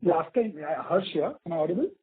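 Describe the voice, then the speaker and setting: A man with a rough, husky voice, explaining things as a bank executive on an earnings call. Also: on the high side, animated, brisk, no echo. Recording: muffled narrow phone line.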